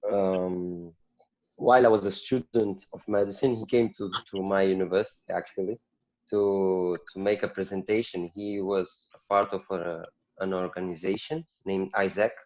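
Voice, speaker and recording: Low male guest over dull audio. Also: fairly even intonation, normal speed, a little mellow. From Portugal. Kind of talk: casual interview storytelling.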